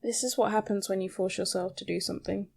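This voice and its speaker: A woman's voice: mid-range voice, steady tone, fast, creaky, darkish tone, husky. A woman rambling casually as a solo podcast host, with a self-deprecating, mildly sweary way of talking.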